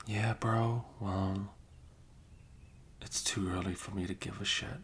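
A man's voice: steady tone, slow, fairly bright tone. A young man playing a sleepy, caring, teasing best friend in an intimate ASMR roleplay, murmuring drowsily in casual slang. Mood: tender concern. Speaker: audio roleplay performer. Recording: low rumbling background noise. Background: faint rumble and blanket noises.

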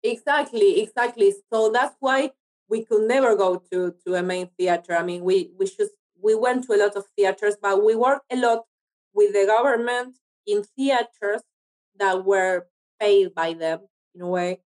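A woman's voice: mid-range voice, fairly even intonation, moderate pace, a little mellow, poor audio. Woman. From Argentina. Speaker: podcast guest, actor and writer. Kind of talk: casual interview chat.

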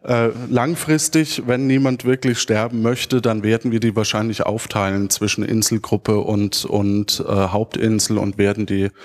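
A man in his thirties with a stuffy head cold speaks informally and haltingly on stage into a handheld microphone, as a podcast creator answering an audience. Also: fairly low pitch, a little mellow. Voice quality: congested from a cold. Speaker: podcast creator giving a conference talk. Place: auditorium stage.

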